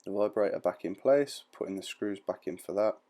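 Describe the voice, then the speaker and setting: Low, creaky-voiced man giving instructions. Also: brisk, mellow. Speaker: repair tutorial presenter. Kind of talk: informal step-by-step instructional voice-over.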